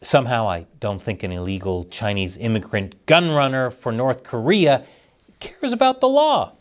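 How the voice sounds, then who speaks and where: A man reads satirical news commentary with a very lively, swinging pitch. He keeps a mock-serious anchor delivery and lands his punchlines with dry sarcasm. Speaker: host of a satirical news show.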